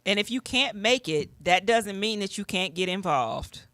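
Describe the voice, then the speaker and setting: A woman's voice: medium pitch, expressive, normal speed, fairly bright tone. A woman speaking conversationally on air as a panelist promoting an event, encouraging and repeating phrases for emphasis. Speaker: ministry leader and radio panelist promoting an event.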